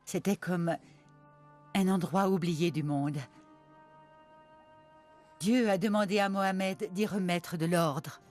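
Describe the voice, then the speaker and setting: An actor playing an earnest, devout witness from the Prophet's household, giving a sober recollection in animated-documentary narration. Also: male voice, high voice, slow, long pauses, faint music behind. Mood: sorrowful. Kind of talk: dramatized first-person narration for an animated documentary.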